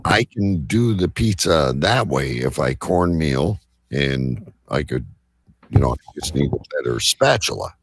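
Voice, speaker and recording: A man hosting a live show with casual banter and a rough, gravelly voice. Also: rumbling low, lively intonation, measured pace, no room sound. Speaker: host of a live online show.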